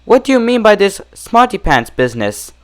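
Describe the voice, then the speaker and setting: A refined lady pony out of a storybook, voiced by a man. The pitch is pushed high and moves in theatrical swoops, genteel and full of dramatic concern. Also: very animated, normal speed. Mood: worried pleading.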